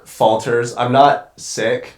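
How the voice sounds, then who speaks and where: A man's voice: medium pitch, level tone, takes it slowly, a little hiss or hum. A young man with a congested voice talks casually as a guest, rambling, restarting his sentences and swearing freely. The sound carries a roomy echo around him. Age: young adult. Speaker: guest cinematographer. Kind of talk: casual podcast conversation.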